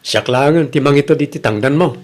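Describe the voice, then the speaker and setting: A man's voice: medium pitch, brisk, lightly acted. A man as a kind, generous Ilocano man. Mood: kind, concerned. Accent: Ilocano Filipino. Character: kind, generous older-brother figure, a man. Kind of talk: Ilocano radio comedy drama acting.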